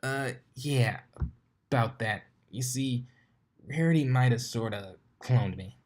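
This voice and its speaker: Man as young female pegasus, lifted pitch, brash, offhand, defensive, cartoon storybook character. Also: takes it slowly. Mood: sheepish.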